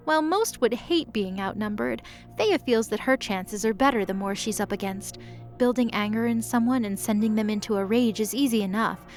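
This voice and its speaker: A woman reading the scripted narration of a fantasy audio drama in an even storytelling manner. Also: fairly high pitch.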